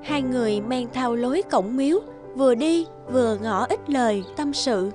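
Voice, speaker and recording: High-pitched woman narrating a fairy tale. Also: normal speed, music in the background.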